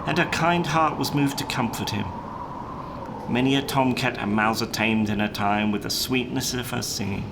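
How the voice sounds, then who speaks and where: A man reading a children's story as a narrator, in a measured, dramatic storytelling delivery. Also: medium pitch, background noise. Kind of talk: scripted storybook narration for a live puppet show. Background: plucked guitar music.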